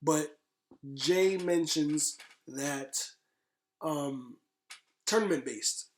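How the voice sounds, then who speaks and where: A man in his thirties with a fairly high voice, talking in a very roomy, echoey space. He gives casual, opinionated movie commentary as a podcast co-host, repeating himself to drive points home. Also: extremely slow. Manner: emphatic, repeats phrases for stress.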